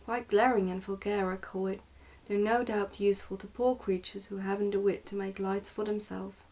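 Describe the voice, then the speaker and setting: A woman's voice: mid-range voice, little pitch movement, normal speed, darkish tone, lightly acted, poor audio. A woman voicing a stern, pompous goblin father in an ASMR storybook reading, scolding and commanding.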